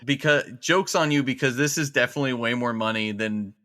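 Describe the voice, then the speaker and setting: A man's voice: medium pitch, fairly quick, sharp tone. A man chatting casually as a guest in a podcast skit.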